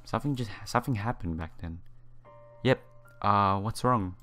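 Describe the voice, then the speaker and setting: Male let's player, lowish voice, halting read-aloud of story text over soft game music. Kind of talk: reading visual novel text aloud. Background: visual novel background music.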